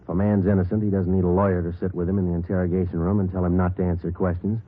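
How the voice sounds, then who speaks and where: An actor playing a terse, matter-of-fact police detective sergeant in a 1950s radio crime drama. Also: male voice, sits low, racing. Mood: terse, insistent. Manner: deadpan, clipped, matter-of-fact. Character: terse, businesslike male police detective sergeant narrating cases. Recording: muffled vintage radio recording, music behind.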